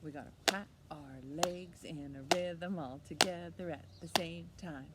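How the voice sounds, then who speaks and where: A woman's voice: sits low, unhurried, very noisy. A woman presenting a children's storytime lesson, encouraging young listeners with simple step-by-step instructions.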